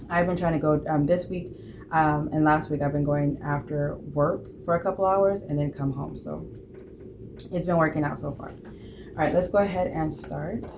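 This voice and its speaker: A woman in her late thirties talks casually about budgeting, in a low voice. She rambles, with fillers and self-corrections. The recording carries clear background noise. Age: 30s. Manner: rambling, chatty, many fillers and restarts. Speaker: budgeting vlogger. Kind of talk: casual chat to camera.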